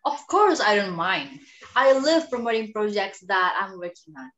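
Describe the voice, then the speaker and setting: A woman's voice: medium pitch, big pitch swings, normal speed, fairly bright tone, highs cut off. A young woman playing a vain, arrogant actress in an amateur dramatic reading, with a haughty, sarcastic manner. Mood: smug. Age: young adult. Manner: haughty, sarcastic, self-important. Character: arrogant, vain young female film star. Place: small room at home, indoors. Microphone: video call. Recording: roomy video-call sound.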